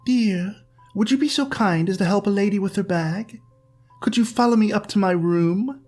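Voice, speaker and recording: Silky creepy vampire lady, man putting it on, soft and purring. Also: sits high, normal speed, soft background music, voice pushed up. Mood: seductive. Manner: silken, purring.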